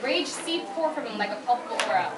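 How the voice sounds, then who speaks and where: A woman's voice: a little high, lively intonation, quick pace. A young woman reading scripted fan fiction narration aloud at a convention panel. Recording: echoey noisy room, far from mic.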